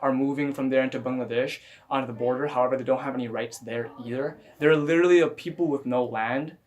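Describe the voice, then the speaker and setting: A young man hosting a casual podcast chat, earnest and halting, repeating words and filling pauses. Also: mid-range voice, steady tone. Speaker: podcast host.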